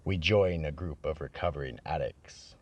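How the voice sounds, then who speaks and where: A man playing the grave narrator of a radio mystery drama, telling the tale in a measured, solemn way with a gravelly, husky voice. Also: rumbling low.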